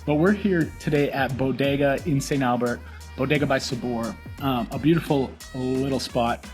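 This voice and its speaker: A man hosts a casual restaurant review show from a small restaurant, upbeat and promotional. His voice sits in a middle pitch. Faint music plays behind him. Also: normal speed, a little mellow. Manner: upbeat, promotional, repeats words for emphasis. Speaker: podcast host.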